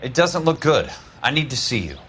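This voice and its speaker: An actor playing a plain-spoken, dogged American insurance investigator in a period noir video game. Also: male voice, medium pitch, big pitch swings, moderate pace, bright, forceful tone. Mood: dogged, businesslike. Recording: faint background noise.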